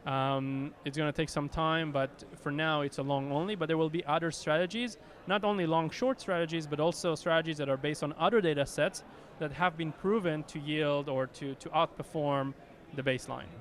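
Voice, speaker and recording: A man's voice: a little high, fairly even intonation, moderate pace, a bit bright. A man with an Israeli accent, an executive answering interview questions with many fillers and restarts. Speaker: company executive guest. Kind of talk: conference interview.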